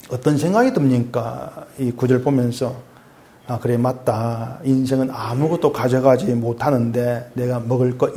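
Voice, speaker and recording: A middle-aged male pastor preaching conversationally, asking his congregation questions and dropping low and soft when he reads scripture. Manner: swings between raised emphatic lines and quiet low asides; reads scripture aloud in a lower, softer voice. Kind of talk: sermon.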